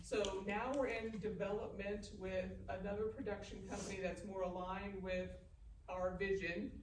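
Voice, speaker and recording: A woman's voice: medium pitch, normal speed. A woman from Northern California speaking on a filmmakers' panel, telling stories expressively and acting out the dialogue. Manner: expressive storyteller who acts out dialogue. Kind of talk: animated anecdote and audience Q&A. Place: echoey indoor live event room. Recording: echoey room, distant mic, noisy.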